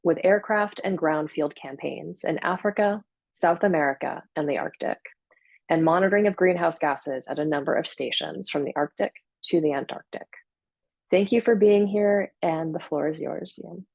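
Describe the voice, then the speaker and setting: A woman hosting a scientific webinar on a video call, speaking in a measured way with frequent fillers and self-corrections. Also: deep voice, gravelly, slightly rough, dry recording, low-quality recording. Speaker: webinar host and moderator. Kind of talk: formal webinar introduction. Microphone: computer mic on a video call.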